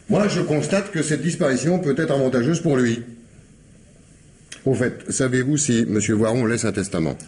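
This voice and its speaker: An actor playing an insistent police commissioner in a radio mystery, gruffly courteous, muttering hesitations before abrupt questions. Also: male voice, medium pitch, a little mellow. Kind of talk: French radio mystery play acting.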